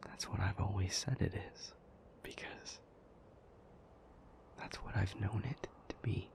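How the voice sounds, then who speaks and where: A man playing a troubled, powerful demon in an intimate audio roleplay, speaking breathily in deliberate, halting phrases. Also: deep voice, darkish tone. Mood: uneasy, confiding. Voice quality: breathy, half-whispered. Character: troubled, powerful male demon confiding gravely in a lover.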